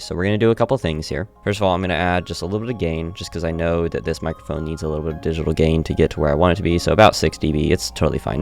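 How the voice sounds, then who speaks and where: Low-voiced man casually explaining audio settings. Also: vocal fry. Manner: relaxed, self-correcting explainer. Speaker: streamer demonstrating audio software. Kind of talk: casual screen-recorded tutorial. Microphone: studio dynamic mic through audio interface.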